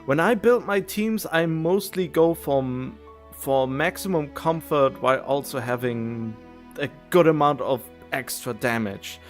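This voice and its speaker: A man's voice: on the high side, a little slow, faint music behind. A man livestreaming a video game, chatting casually and thinking aloud in a rambling, musing way, often correcting himself.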